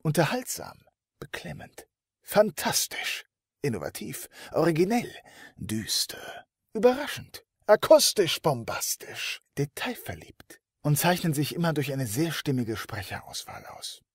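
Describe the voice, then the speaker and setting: Casting: expressive male speaker, husky and highly animated, theatrical delivery of a greeting. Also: unhurried, dry recording, studio quality. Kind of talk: performed congratulatory message.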